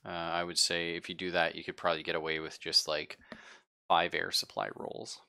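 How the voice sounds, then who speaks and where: A man acting as game master in a tabletop roleplaying game, describing things conversationally and haltingly as he thinks aloud. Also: low-pitched, flat delivery, creaky, bright, forceful tone, clean tone. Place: live-streamed game session.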